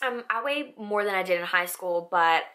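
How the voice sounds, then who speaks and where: Raspy chatty young woman. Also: mid-range voice, normal speed, sharp tone. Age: young adult. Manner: chatty, filler-heavy with frequent "like" and "um". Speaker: vlogger. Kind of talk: casual facts-about-me vlog.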